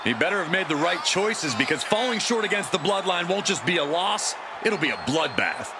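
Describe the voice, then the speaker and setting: A man's voice: high voice, sing-song, fairly quick, crowd noise. A man giving indignant, snide and partisan color commentary on wrestling. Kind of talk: sports commentary. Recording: very noisy arena sound.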